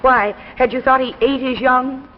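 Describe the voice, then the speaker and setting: An actress playing a professor's grown daughter, wry, gracious and teasing, in 1950s radio drama style. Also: female voice, sits high, fairly even intonation, moderate pace, natural voice. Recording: dull reverberant old radio, faint noise.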